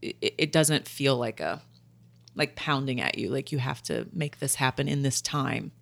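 A woman co-hosting a podcast, chatting in a supportive and expressive way and signing off the episode. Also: a bass voice, normal speed, gravelly, no echo. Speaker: podcast co-host. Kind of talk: casual interview chat.

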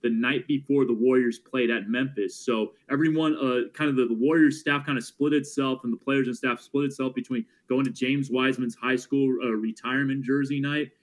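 A man's voice: mid-range voice, steady tone. Stat-heavy man breaking down basketball. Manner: stat-heavy, quick self-corrections. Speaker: team broadcaster and podcast guest. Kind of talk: casual sports analysis chat.

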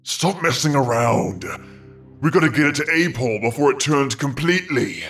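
A man voicing a gruff, annoyed demon guard with a clinical, deliberate manner in a fantasy horror story. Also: medium pitch, expressive, a bit bright, far from the mic. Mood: impatient. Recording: echoey voice over background music.

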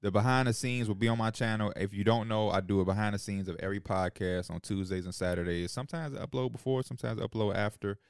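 A man's voice: on the low side, steady tone, fast, hardly any pauses, smooth, no echo, clean studio sound. Clean-sounding, slangy man in his twenties. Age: 20s. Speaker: podcast co-host. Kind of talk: casual chat.

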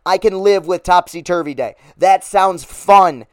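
A man hosting a casual sports podcast, upbeat and rambling with fillers, his voice a little hoarse. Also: high voice, a little slow, no room sound, studio quality. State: losing his voice. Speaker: podcast host.

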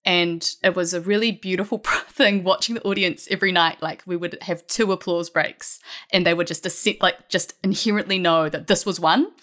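A woman's voice: lowish voice, steady tone, moderate pace, bright, forceful tone. A woman chatting informally and with enthusiasm as a writer being interviewed. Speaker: writer and lyricist interviewed as a guest. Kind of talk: casual interview chat.

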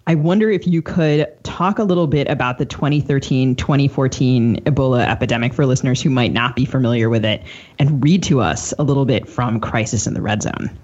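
Husky-voiced woman hosting a thoughtful podcast. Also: very deep voice, a little mellow, dull audio. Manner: halting, discursive, earnest. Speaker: podcast co-host, interviewer. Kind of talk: casual, thoughtful literary interview.

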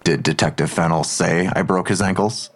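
Deep-voiced, sardonic, defiant detective. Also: male voice, steady tone, normal speed. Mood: dry, sardonic. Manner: curt, dry, evasive. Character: sardonic, defiant male police detective under investigation. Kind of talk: audio drama dialogue.

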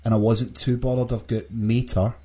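Male podcast host, husky and soft-edged, mellow tone, casual news chat. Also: medium pitch, flat delivery, moderate pace. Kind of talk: casual gaming news discussion.

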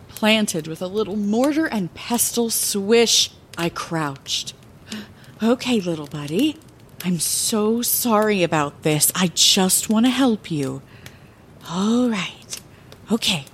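Lively, unhurried storytelling lighthouse keeper woman. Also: medium pitch, a little hiss or hum. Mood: earnest, playful. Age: middle-aged. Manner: theatrical, wordy, chatty asides. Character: woman lighthouse keeper, fond, playful storyteller recalling her youth. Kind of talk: fantasy audio drama bedtime-story narration.

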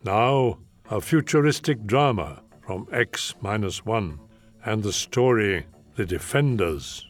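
A man's voice: on the low side, expressive, slow, soft background music. A man hosting a radio mystery program, reading a scripted introduction. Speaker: host of old radio mystery show.

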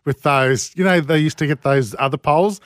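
A man's voice: on the high side, brisk, no echo, studio quality. A man co-hosting a radio show, reading out news stories in casual, teasing banter. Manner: teasing, cheeky, jokey. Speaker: radio co-host.

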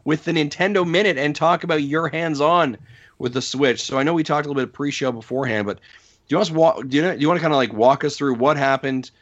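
A man's voice: on the high side, a bit bright. A man hosting a podcast who is losing his voice and hesitates through his questions. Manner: drawn-out prompts, hesitant restarts. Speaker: podcast host. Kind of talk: casual podcast chat. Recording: dull lo-fi audio.

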